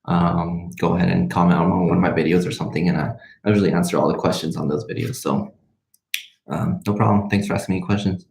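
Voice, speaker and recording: A young man in his twenties, a native Spanish speaker, talks casually to a livestream in a roomy, echoing space. His low voice stays fairly flat as he thinks aloud and drifts between half-finished sentences. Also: fast talker, gravelly. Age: 20s. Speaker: medical student and vlogger hosting a live question session. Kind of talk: casual livestream question-and-answer chat.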